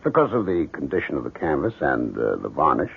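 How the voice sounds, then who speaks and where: A muffled old radio recording of an opinionated painter. The voice is low and gravelly and swings very widely in pitch, self-assured and insistent, in 1970s radio drama style. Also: male voice, big pitch swings, brisk, exaggerated voice.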